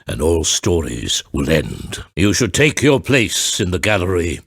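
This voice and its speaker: Gravelly, grandiose storyteller father. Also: deep voice, very animated, fairly bright tone, dry recording, studio quality, exaggerated voice. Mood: grave, composed. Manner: grandiose, deliberate, formal. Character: grave, theatrical father and storyteller ruling a town. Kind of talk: dramatic video game voice acting.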